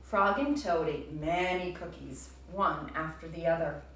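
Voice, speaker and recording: Unhurried woman reading aloud, very echoey. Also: low voice, a bit bright. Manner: addresses young listeners directly. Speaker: teacher reading aloud to children. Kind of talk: storybook narration and comprehension prompts. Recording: roomy, distant, faint background noise.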